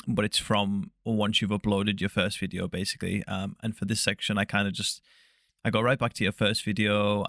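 A man's voice: on the low side, a bit bright, no echo, clean studio sound. A young man hosting an interview podcast, asking long, rambling questions full of fillers. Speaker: podcast host. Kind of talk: casual interview.

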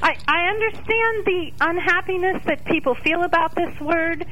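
A woman's voice: very high voice, normal speed, sharp tone, slight background noise, rough recording. A woman calling in to a talk radio show over the phone, giving her opinions in an outspoken, conversational way.

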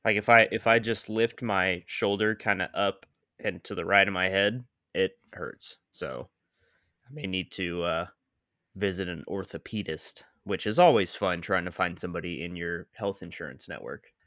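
A man's voice: low-pitched, normal speed, pushed and bright, no echo. A man, co-hosting a casual sports podcast with wry, dry jokes and frequent laughs. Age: 20s. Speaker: podcast co-host.

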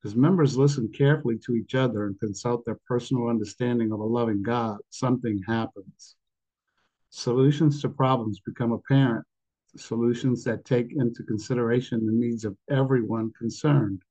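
Mellow-voiced man losing his voice. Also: mid-range voice, fairly even intonation, normal speed, rough recording. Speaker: meeting participant reading aloud. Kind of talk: reading a passage aloud, then sharing.

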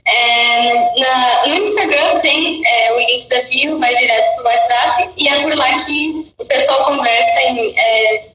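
A woman's voice: high-pitched, slow pace, hardly any pauses, sharp tone. A woman who teaches English, talking in a thoughtful, hesitant way with many restarts during a live interview chat. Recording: echoey room, far from mic, faint music.

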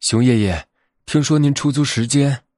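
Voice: mid-range voice, moderate pace, darkish tone, no echo, clean studio sound, lightly acted. A man voicing a shy, earnest little brown bear cub in a storybook tale. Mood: hesitant.

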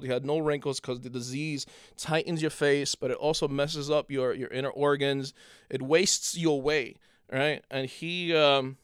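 A man's voice: mid-range voice, a bit bright, clean tone, no echo, clean studio sound. A middle-aged man from Cuba in a casual conversation, telling a long story with many restarts and repeated words.

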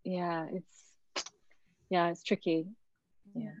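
A woman's voice: lowish voice, fairly even intonation, very slow, darkish tone, slight background noise. A woman working as a casting director, giving thoughtful, chatty advice to actors in a workshop over a video call. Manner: thoughtful, chatty, thinks aloud. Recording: dull lo-fi video call audio.

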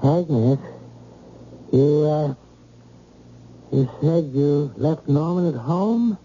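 Grave doctor, very dark, unhurried voice. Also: male voice, fairly high pitch. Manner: measured, formal. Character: concerned, grave, courteous male doctor. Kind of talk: old-time radio drama acting. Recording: dull, hissy old radio recording.

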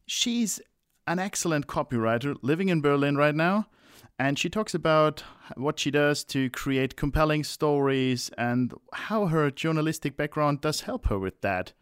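A man's voice: on the high side, lively intonation, moderate pace, clear, resonant tone, dry recording, clean studio sound. A middle-aged man hosting an interview over a video call, asking questions with a casual, hesitant manner. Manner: hesitant, restarts questions with fillers. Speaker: podcast host.

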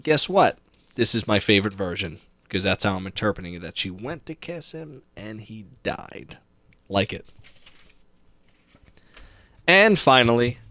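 A man's voice: on the low side, fairly bright tone, dry recording. A man hosting a podcast, casually recapping a book with read-aloud passages and opinionated, conversational asides. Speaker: podcast host.

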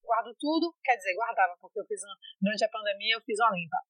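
A woman's voice: mid-range voice, fast talker, dry recording, low-quality recording. A woman from Brazil, telling stories in a casual interview with lots of fillers and restarts. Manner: chatty, animated storytelling with many fillers and restarts. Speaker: interviewee, foreign master's student in France.

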